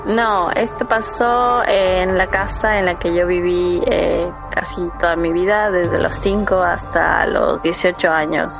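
A woman's voice: on the low side, fairly even intonation, a little slow, vocal fry, few pauses, music in the background, poor audio. A woman in her thirties from Ecuador, hesitantly telling her story as a guest, with many fillers. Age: 30s. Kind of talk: casual remote interview.

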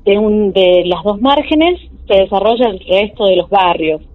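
A woman in her forties with an Argentine accent speaks over a tinny phone line. She hesitates often and fills the pauses. Her pitch sits in the middle range. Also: animated, a little hiss or hum. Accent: Argentine Spanish. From Jujuy, Argentina. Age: 40s. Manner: hesitant, with frequent fillers. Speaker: caller telling a true story.

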